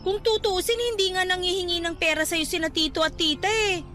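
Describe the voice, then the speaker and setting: A woman's voice: very high voice, fairly even intonation, a little fast, bright, forceful tone, soft background music. A young woman with a Filipino accent playing a nagging, sensible cousin and coworker in a radio drama, scolding and emphatic. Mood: exasperated scolding.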